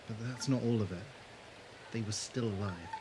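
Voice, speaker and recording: A man's voice: on the low side, moderate pace, lightly acted. A man acting a resolute, grave leader of adventurers in a fan audio drama. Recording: clear background noise and ambience.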